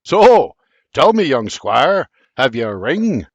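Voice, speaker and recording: A man's voice: medium pitch, sing-song, slow pace, dry recording, exaggerated voice. A man voicing a fatherly, joking old Scottish nobleman in broad dialect. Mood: hearty. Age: older. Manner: boisterous, teasing, broad dialect.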